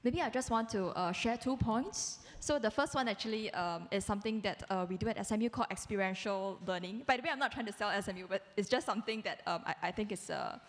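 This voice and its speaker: A woman's voice: medium pitch, quick pace, few pauses. Female academic panelist, conversational, tentative and modest, live reverberant hall. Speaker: university educator on a panel.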